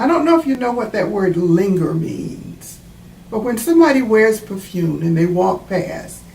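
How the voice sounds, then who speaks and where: A woman reading a children's book aloud and explaining it in a deliberate, teacherly way. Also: medium pitch, creaky, a little mellow. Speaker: author reading own book. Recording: roomy, distant mic, faint background noise.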